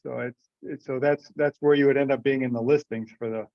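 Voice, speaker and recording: A man's voice: medium pitch, steady tone, fairly quick, creaky. A man taking part in a remote meeting, explaining things casually. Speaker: meeting participant. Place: remote teleconference. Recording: dull, muffled conference call audio.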